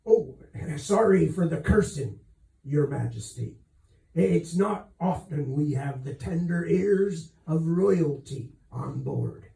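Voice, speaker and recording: A man's voice: on the high side, animated, slow pace, gravelly, dark tone, echoey room, far from the mic, heavily acted, rough recording. A man voicing a whiskered sea captain from a storybook, with rustic, courteous nautical speech and a bellowing temper. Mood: gentle, apologetic. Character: bellowing, bewhiskered sea captain, gentle and apologetic between shouts.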